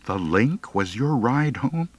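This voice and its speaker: A jittery teenage boy, acted by a male narrator, hesitant and sheepish. His pitch lifts and leaps as he speaks, in a dry, close storybook recording. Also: big pitch swings, slow, dry recording. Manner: hesitant, stammering.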